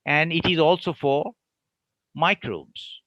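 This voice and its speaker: A man giving an online academic lecture, explaining with deliberate pauses between phrases. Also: on the high side, fairly bright tone, no echo, dull audio.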